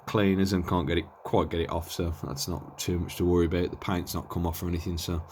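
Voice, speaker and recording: Male reviewer, deep-pitched, hesitant and unshowy, informal bench demo, slight background noise and clicks. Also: level tone, fast talker, a little mellow. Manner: hesitant, offhand, understated. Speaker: presenter of a radio gear review. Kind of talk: casual hands-on product demonstration.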